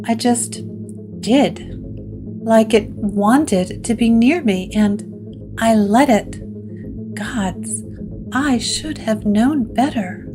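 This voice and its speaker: A woman voicing a shaken, regretful haunted woman in a gothic audio drama, quiet and hesitant. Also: on the high side, extremely slow, pauses often, music in the background.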